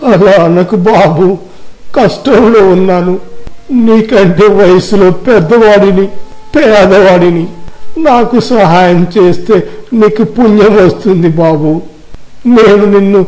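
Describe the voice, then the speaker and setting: A high, mellow voice of an old beggar acted by a man, humble and pleading in a moral tale. He sounds frail and needy, with music playing underneath. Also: a little slow, poor audio. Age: older. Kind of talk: dramatized moral story. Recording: music behind the voice.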